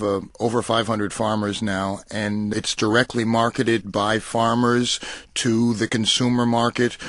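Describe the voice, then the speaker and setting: Deliberate American man in dry studio. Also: fairly low pitch, fairly bright tone, dry recording. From New York City, United States. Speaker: interview guest, coffee cooperative marketing director. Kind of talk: thoughtful interview talk. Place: radio studio.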